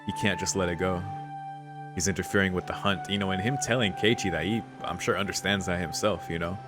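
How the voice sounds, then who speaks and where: A man's voice: low-pitched, steady tone, brisk. A man doing casual let's play commentary in a laid-back, slangy way. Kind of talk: casual gaming commentary, reading subtitles aloud. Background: game music.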